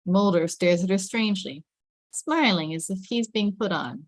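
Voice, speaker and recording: A woman's voice: mid-range voice, normal speed, no echo. Woman narrating a script, very dry sound. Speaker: narrator reading stage directions. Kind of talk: scripted narration at a table read.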